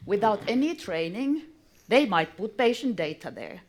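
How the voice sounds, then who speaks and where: A woman's voice: mid-range voice, sing-song, moderate pace, a little mellow. A woman on a conference panel making her case earnestly and firmly. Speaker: panel speaker. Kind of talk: panel discussion. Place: conference breakout room.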